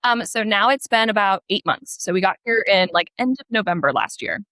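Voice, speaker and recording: A woman's voice: medium pitch, animated, brisk, pushed and bright, no room sound, low-quality recording. An American woman in her thirties, a guest author chatting animatedly and laughing between points. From United States. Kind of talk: casual interview chat. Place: her home in Portugal.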